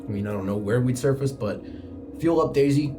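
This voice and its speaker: A game master voicing a middle-aged male starship captain who is a company man, giving low, muttered orders. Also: a little fast, close to an everyday voice. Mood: businesslike. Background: music.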